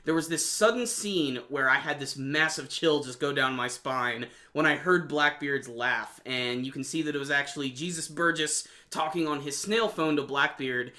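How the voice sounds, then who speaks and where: Male episode reviewer, slightly high pitch, sharp bright tone, excited fan commentary, informal and slangy. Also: normal speed, few pauses. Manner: casual fan talk with slangy emphasis. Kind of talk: enthusiastic video review commentary.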